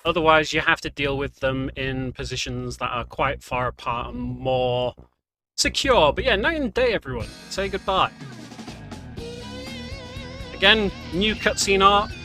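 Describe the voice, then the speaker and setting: Man, higher-pitched voice, casual gameplay commentary, prominent background music. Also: expressive, a little slow, sharp tone. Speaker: speedrunner commentator. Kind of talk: casual video game walkthrough commentary. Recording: game music under the voice.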